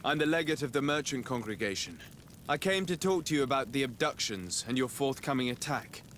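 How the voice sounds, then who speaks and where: An actor playing an earnest, courteous diplomat in a fantasy video game, polite and assured. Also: male voice, medium pitch, animated, a little fast, fairly bright tone, slight background noise, close to an everyday voice. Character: young male diplomat legate, earnest and courteous.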